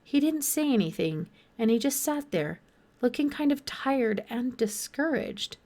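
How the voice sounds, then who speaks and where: High-voiced woman narrating a children's book. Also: expressive, unhurried, darkish tone. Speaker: audiobook narrator. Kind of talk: scripted narration of a children's novel.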